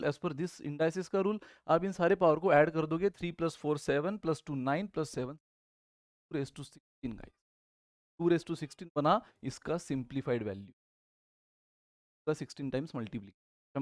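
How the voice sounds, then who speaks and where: An Indian man teaches an exam-prep math lesson with a high-set voice that is a little hoarse from a sore throat. He keeps calling his students guys and asks questions, then answers them himself. The close, dry recording has no room echo. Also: darkish tone.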